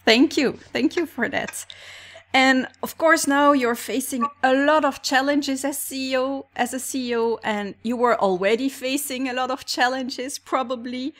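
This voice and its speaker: High-pitched woman host, upbeat and encouraging, talks straight to her listeners. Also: unhurried. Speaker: podcast host. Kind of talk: podcast interview and promo.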